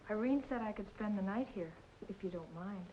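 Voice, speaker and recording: A woman's voice: medium pitch, fairly quick, slight background noise, lightly acted. A young woman playing an earnest, lovesick runaway with a plaintive manner, in old film noir style. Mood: downcast, earnest. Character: lovesick, naive young runaway girl hoping to sing. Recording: dull vintage film audio, music behind.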